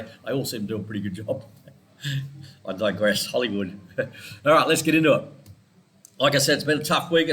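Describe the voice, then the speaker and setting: A congested Australian man talks through his weekly trading charts in a loose, chatty way and wanders off into side topics. His voice sits at an ordinary middle pitch, and a faint hiss of room noise sits under it. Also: fairly bright tone. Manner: chatty, rambling, digressive, with small laughs. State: congested with a head cold. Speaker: forex trading educator. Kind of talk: casual weekly market analysis.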